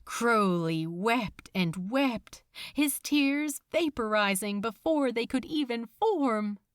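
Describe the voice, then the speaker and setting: Very slow, dramatic woman narrator. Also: fairly high pitch, animated, clear, resonant tone, no echo, clean studio sound. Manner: theatrical, intense, deliberate. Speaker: podfic narrator. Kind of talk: dramatic scripted fiction narration.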